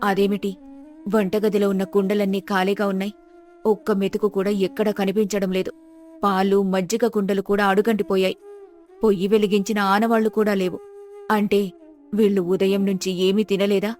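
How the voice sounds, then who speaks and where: A woman's voice: mid-range voice, flat delivery, normal speed, a little mellow, lightly acted. A woman playing a compassionate village wife in a dubbed moral-story cartoon, with earnest, emotional delivery. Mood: moved, distressed. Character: compassionate, heartfelt village wife, visiting guest. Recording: music behind the voice.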